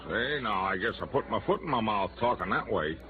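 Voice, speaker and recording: A man's voice: lowish voice, expressive, brisk, natural voice. An actor playing a breezy, folksy young man from the wide open spaces, hearty and friendly, in 1950s radio drama style. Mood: hearty, sheepish. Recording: tinny, noisy old radio recording.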